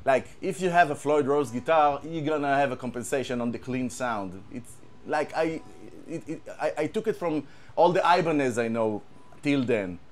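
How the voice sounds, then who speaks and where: A man with an Israeli accent, a guitar tech, talking casually and haltingly, repeating words and using many fillers. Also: mid-range voice. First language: Hebrew. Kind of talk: casual show-and-tell chat.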